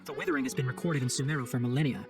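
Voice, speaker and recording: Man acting a brisk, articulate young forest ranger. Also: medium pitch, steady tone, music in the background, natural voice. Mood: earnest, grave. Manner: articulate, formal, explanatory. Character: earnest young male forest ranger, scholarly and composed. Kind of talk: video game cutscene voice acting.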